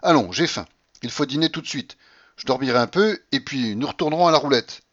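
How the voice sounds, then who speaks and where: Man playing an imperious old Russian grandmother, shrill-pitched. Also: animated, fairly quick, fairly bright tone, lo-fi sound. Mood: defiant, brusque. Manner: blunt, bossy, exclamatory.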